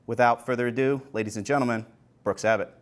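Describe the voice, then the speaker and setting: A man's voice: mid-range voice, fairly even intonation, fast talker. A man hosting an online event, giving a formal spoken introduction. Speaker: event host introducing a presenter.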